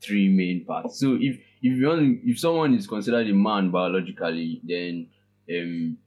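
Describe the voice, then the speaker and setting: A young man in his twenties with a lilt and a very low voice. He talks casually as a podcast guest. He feels his way through ideas with halting restarts and easy laughter. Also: a little mellow. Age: 20s. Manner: halting, laughs often, thinks aloud. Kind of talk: casual chat.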